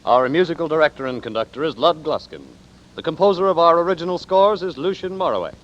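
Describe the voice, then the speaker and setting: A man reading credits and promotions as a 1940s radio announcer, crisp and formal. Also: on the high side, quick pace, fairly bright tone. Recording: dull lo-fi 1940s radio broadcast.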